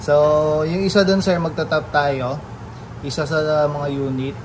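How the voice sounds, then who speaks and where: A man's voice: a little high, measured pace, background noise. A Filipino man working as shop staff, politely explaining a product step by step in an informal demonstration. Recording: music playing behind the voice. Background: music.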